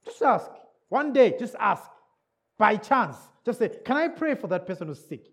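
A man's voice: sits high, very animated, normal speed, creaky, a bit bright, husky. A man preaching a sermon in a church service in England, English not his first language, repeating key words and checking in with the listeners.

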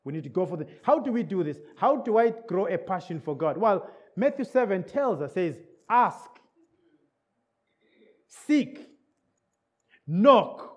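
A man's voice: high voice, very animated, unhurried, pauses often, husky. A man preaching a sermon in a church service in England, English not his first language, repeating key words and checking in with the listeners.

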